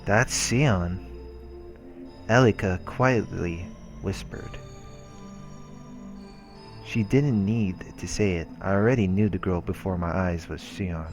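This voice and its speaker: Deep-voiced man reading game narration. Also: slow pace, pauses often. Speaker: let's play host. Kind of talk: reading visual novel narration aloud. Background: game background music.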